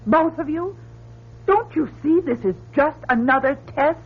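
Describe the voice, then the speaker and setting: A woman's voice: sits high, lively intonation, a little slow. An actress playing a spirited female astronaut, pleading yet firm, in emotive radio drama style. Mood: urgent pleading. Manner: emotive, urgent. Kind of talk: melodramatic radio drama acting. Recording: muffled, lo-fi old radio recording.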